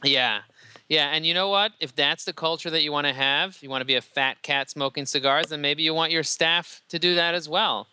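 A man, a company CEO answering interview questions in an energetic, motivational way. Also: fairly high pitch, normal speed, clean tone. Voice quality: loud voice. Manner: energetic, motivational, repeats words when excited. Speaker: podcast guest, company CEO.

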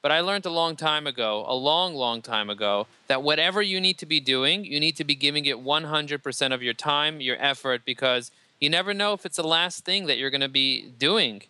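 A man, a company CEO answering interview questions in an energetic, motivational way. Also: a little high, a little fast, few pauses, clean tone, dry recording. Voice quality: loud voice. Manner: energetic, motivational, repeats words when excited. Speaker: podcast guest, company CEO.

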